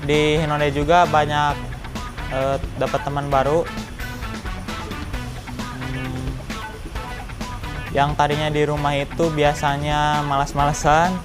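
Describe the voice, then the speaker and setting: A 19-year-old man from Banjaran in Indonesia, a student giving a casual testimonial. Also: a little high. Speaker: student at a language training school. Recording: music laid over the voice.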